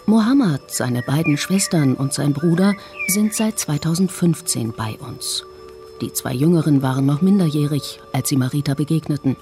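Calm radio feature lady, kinda low and mellow, light music behind. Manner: calm, measured first-person reportage. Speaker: feature author and narrator. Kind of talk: scripted documentary narration.

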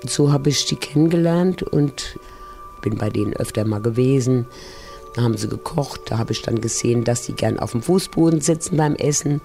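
A man talking about volunteer German teaching in a relaxed, conversational way. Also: medium pitch, normal speed, creaky, soft background music. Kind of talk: interview recollection.

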